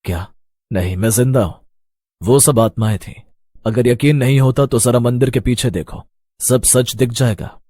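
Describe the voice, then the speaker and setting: A man voicing a solemn villager who gives ominous warnings in an animated horror story. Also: medium pitch, moderate pace, dry recording, clean studio sound, close to an everyday voice. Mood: grave warning.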